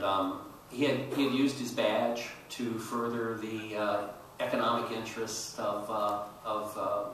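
Echoey older man, low halting voice. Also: bright, forceful tone. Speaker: historian and author on a panel. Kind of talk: storytelling panel talk to an audience. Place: large auditorium stage. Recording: roomy echoey hall, distant mic, faint noise.

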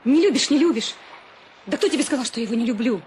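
A woman's voice: on the high side, animated, fast talker, a little mellow, slight background noise, lightly acted. An actress playing a spirited, headstrong young woman, the captain's niece, in a radio play, emotional and impulsive. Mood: indignant.

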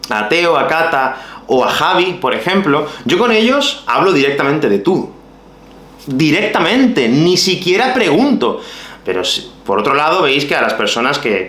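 A man in his thirties from Málaga, with a softened Andalusian accent, explaining things to the camera for language learners in an animated, direct way. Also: on the high side, reverberant. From Málaga, Spain. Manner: animated, gestures with his hands, addresses viewers directly. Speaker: Spanish teacher. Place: small room at home.

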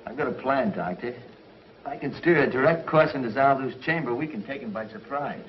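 A man's voice: medium pitch, lively intonation, normal speed, close to an everyday voice. A young man playing a resolute space hero and rocket pilot in a 1950s television serial. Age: young adult. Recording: dull, noisy 1950s TV soundtrack.

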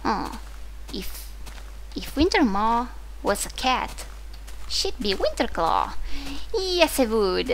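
A young woman whose first language is Italian talks over her gameplay in a loose, rambling way, full of restarts and fillers, with a clear Italian accent. Her voice sits a little high, and a faint hiss runs under the recording. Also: very animated, takes it slowly, long pauses. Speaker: gaming video host. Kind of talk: casual commentary while playing.

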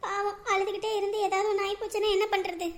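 A woman acting a caring, worried wife, speaking softly. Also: extremely high pitch, level tone, quick pace. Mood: fussing. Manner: soft, fussing.

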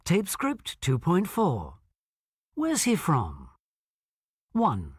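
A woman narrating coursebook audio, announcing track numbers slowly and deliberately. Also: on the low side, huge pitch swings, darkish tone, no echo, studio quality. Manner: slow, deliberate, clear announcing. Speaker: narrator reading audio scripts.

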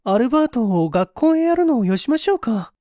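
A man acts out a fearful mother in a storybook reading. The voice is pitched high and swings anxiously. The speech is polite, feminine and pleading. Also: very animated, a little fast, warm tone, no echo, studio quality.

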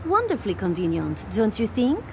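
A woman's voice: medium pitch, wildly swooping pitch, fairly quick, background noise. A woman voicing a cool, sly blonde handler of an assassin contest in a video game cutscene. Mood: cool, teasing. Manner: coolly detached, sly.

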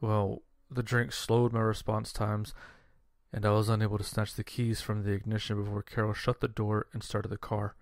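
A man narrating a scripted first-person horror story in a measured, dramatic way. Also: lowish voice, monotone, no echo, studio quality. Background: music.

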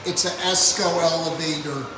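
A man's voice: fairly high pitch, steady tone, moderate pace, background noise. A man filming a casual elevator tour, announcing things in short, simple phrases. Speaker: elevator enthusiast filming a video. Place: inside an elevator and lobby indoors. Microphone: handheld phone camera. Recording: echoey small rooms, handheld phone.

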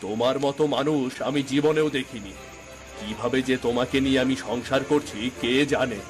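A man's voice: medium pitch, normal speed, natural voice. A man playing an emphatic, melodramatic village man in a cartoon folk tale. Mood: angry, reproachful. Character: stern, scolding village husband and pleading chief in a folk tale. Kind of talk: Bengali cartoon fairy-tale voice acting. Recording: music behind the voice. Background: background music.

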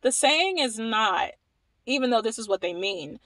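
A young woman from Maryland giving animated, wry commentary to the camera, repeating phrases for emphasis. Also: a little high, measured pace, no echo. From Maryland, USA. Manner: animated, wry, repeats herself when emphasizing, laughs at points. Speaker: vlogger. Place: small indoor room against a plain wall.

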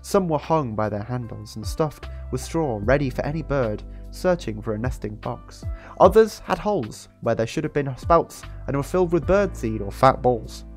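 A man in his twenties in a chatty, expressive livestream storytelling style, speaking close to a studio microphone in a small room. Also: medium pitch, moderate pace. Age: 20s. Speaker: streamer reading a book aloud. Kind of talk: storytelling and casual livestream chat. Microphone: close studio microphone on an arm, with headset. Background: background music.

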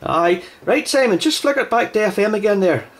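Higher-voiced man chatting on amateur radio. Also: animated, a little fast. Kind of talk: casual two-way radio chat.

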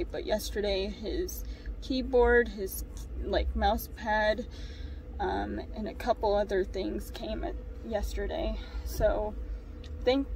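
A woman's voice: mid-range voice, a little mellow, distant mic, a little hiss or hum, poor audio. A woman in her twenties chatting casually to her vlog audience from a parked car, rambling and hesitant with lots of ums. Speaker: vlogger. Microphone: phone camera propped low in the car.

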